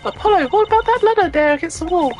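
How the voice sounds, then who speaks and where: A streamer voicing an excitable, pushy young girl assistant in a video game, eager and emphatic. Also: very high-pitched, fast, faint music behind, pitched above the performer's own voice. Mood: curious. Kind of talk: amateur voice acting of a visual novel game.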